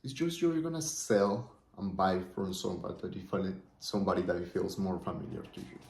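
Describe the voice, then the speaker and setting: A man's voice: sits low, brisk, gravelly, a little hiss or hum. A man from Colombia, a native Spanish speaker with a Colombian accent, talking through his business plans in a rambling, casual monologue and often checking in with "right?". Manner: rambling, keeps asking "right?". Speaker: small business owner vlogger. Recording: roomy echo.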